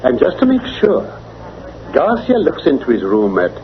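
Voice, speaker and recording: An actor playing a keen, analytical English gentleman detective in a vintage radio drama, with a British accent and precise, formal diction. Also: on the high side, huge pitch swings, moderate pace. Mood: analytical, assured. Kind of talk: mid-century radio drama acting. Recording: dull, noisy vintage radio recording.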